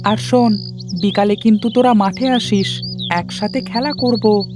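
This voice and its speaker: A woman's voice: medium pitch, fairly even intonation, unhurried, over music, natural voice. A woman voicing a sociable, slightly impatient young village playmate in a cartoon story, with a chatty manner.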